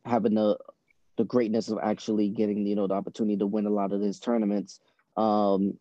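Muffled, even-toned man from Boston, interviewed. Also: fairly low pitch, no echo. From born in Trinidad, grew up in Boston from age six. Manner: conversational, frequent "you know". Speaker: basketball coach and team executive, podcast guest. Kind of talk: casual interview answers.

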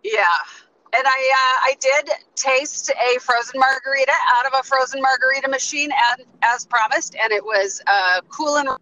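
Upbeat chatty woman host, bright voice. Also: high voice, steady tone, normal speed, muffled recording. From US East. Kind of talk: casual co-host chat, road trip update. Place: in a car.

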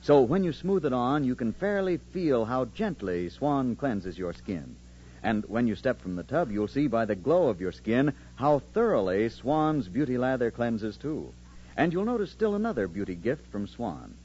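Animated male old-time radio announcer. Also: medium pitch, moderate pace, slightly rough. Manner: polished, persuasive. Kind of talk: 1940s radio commercial read. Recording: muffled, noisy old radio recording.

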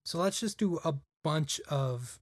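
A man's voice: on the high side, animated, unhurried, dry recording. Young man rambling casually, dry mic. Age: young adult. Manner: rambling, lots of "like" fillers. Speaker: podcast guest. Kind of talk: casual chat.

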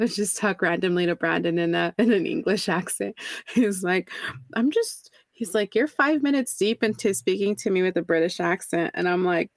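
A young woman with a Texan accent hosting a casual podcast chat, self-mocking and quick to laugh. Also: lowish voice, no echo, clean studio sound. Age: young adult. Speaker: podcast host.

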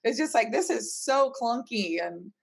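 A woman's voice: on the high side, animated, unhurried, creaky, slightly rough. A woman talking casually in an interview as a startup team member, retelling things informally. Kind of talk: casual conversational explanation.

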